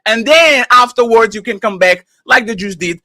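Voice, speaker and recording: High, bright-voiced man from Portugal. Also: moderate pace, dry recording. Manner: blunt, profane, insistent, stumbling restarts. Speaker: debater. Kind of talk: heated debate.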